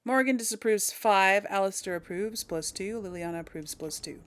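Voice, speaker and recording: A woman's voice: medium pitch, brisk, smooth. A woman hosting a casual role-play Let's Play, chatting and thinking aloud as she plays. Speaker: gaming host. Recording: faint noise, game ambience behind.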